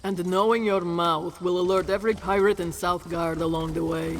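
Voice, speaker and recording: A man's voice: high voice, steady tone, normal speed, slight background noise, close to an everyday voice. A man voicing a blunt, quarrelsome adventurer in a video game, dry and mocking. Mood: scornful. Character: Casca, sarcastic, blunt, quarrelsome adventuring companion. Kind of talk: video game character dialogue.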